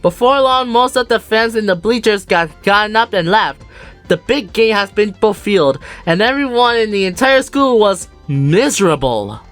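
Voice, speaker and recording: Brief: woman narrator, animated storybook reading, wide pitch range. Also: moderate pace, faint music behind. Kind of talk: storybook narration for a fan dub.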